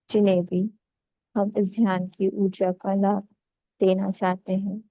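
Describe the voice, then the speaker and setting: A woman leading a guided meditation, giving calm, unhurried instructions in short phrases with pauses. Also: mid-range voice, no echo, rough recording.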